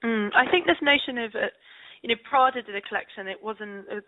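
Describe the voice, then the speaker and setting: A woman's voice: a little high, brisk, no echo. A young woman interviewing someone casually over the phone. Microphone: telephone. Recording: band-limited phone call recording.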